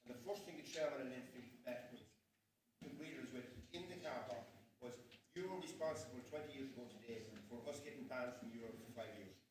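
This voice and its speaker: An Irish man from Dundalk telling an animated anecdote as a panel guest at a conference. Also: medium pitch, brisk. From Dundalk, Ireland. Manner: animated storyteller, quotes others. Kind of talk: storytelling anecdote. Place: conference hall. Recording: distant, roomy hall with crowd.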